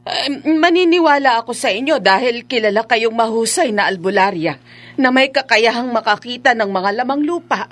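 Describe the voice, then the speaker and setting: Fretful, high-voiced Filipino mother. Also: animated, moderate pace, a bit bright. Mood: fearful. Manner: fretful, hesitant. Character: anxious, superstitious middle-aged mother. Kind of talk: Filipino horror radio drama acting.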